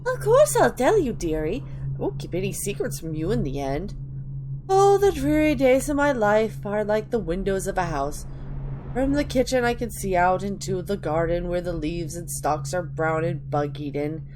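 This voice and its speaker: A woman's voice: mid-range voice, very animated, normal speed, lightly acted. A woman acting a creepy, rambling old lady. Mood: sinister, rambling. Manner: cloying, calls listener dearie. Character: rambling, sinister old woman reminiscing about grander days. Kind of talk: dramatic reading of a game journal. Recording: clearly noisy home recording.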